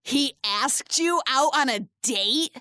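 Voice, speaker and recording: A woman's voice: sits high, animated, takes it slowly, pushed and bright, dry recording, clean studio sound, full character voice. An actress playing a blunt, suspicious young woman and protective friend in an audio drama.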